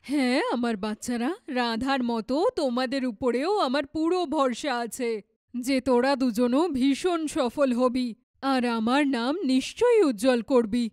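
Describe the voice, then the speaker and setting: Casting: woman as caring cartoon mother, high voice, earnest and emphatic, close dry sound. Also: a little slow, a little mellow, dry recording. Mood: proud. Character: devoted, proud, caring mother of three daughters. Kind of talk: dramatized children's moral story.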